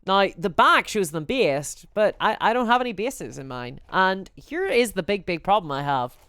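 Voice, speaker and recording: A woman with a Belfast accent, chatting casually and candidly as a live streamer in an animated, conversational way. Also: medium pitch, normal speed, clear, resonant tone, no room sound, studio quality. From Northern Ireland. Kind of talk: casual chat to a live audience.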